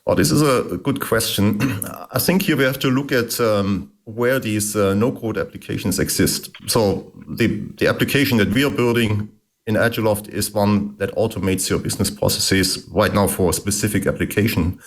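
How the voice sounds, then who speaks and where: A middle-aged man with a German accent, answering interview questions in a thoughtful way with many pauses and fillers. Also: fairly low pitch. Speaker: guest, engineering executive.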